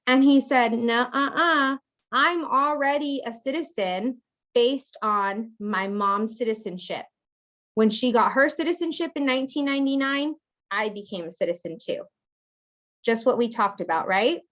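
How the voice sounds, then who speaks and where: A woman's voice: a little high, a little slow, fairly bright tone, lo-fi sound. A woman law professor giving a conversational classroom lecture, acting out example speakers as she explains. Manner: conversational, acts out hypothetical speakers.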